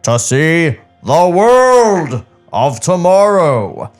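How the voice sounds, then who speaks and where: Man putting on an excitable old-time cartoon announcer, swooping pitch. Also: very slow, soft background music, exaggerated voice. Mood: grand, excited. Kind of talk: 1940s newsreel-style announcing.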